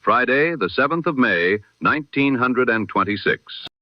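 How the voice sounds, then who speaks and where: A man reading the news in the formal, measured style of an old-time radio broadcast. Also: medium pitch, animated. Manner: measured, formal newsreader delivery. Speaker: news announcer. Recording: dull lo-fi radio-style sound.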